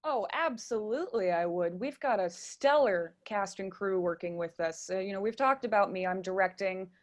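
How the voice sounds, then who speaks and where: A woman's voice: mid-range voice, normal speed. A woman speaking as a guest in a radio interview, chatty and enthusiastic as she explains things. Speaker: interview guest, theater stage manager and director.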